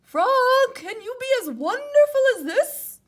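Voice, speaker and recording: A woman voicing an excitable, dramatic male toad who cries out in a children's storybook. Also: very high voice, measured pace, voice pushed up, exaggerated voice. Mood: boastful. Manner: crying out, shouting, dramatic. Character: excitable, boastful, easily frightened male toad.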